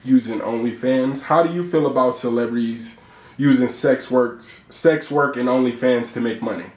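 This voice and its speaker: A man's voice: mid-range voice, moderate pace. Laid-back young male interviewer, echoey room. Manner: relaxed, laid-back, slangy, laughs easily. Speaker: interview host. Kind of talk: casual interview chat.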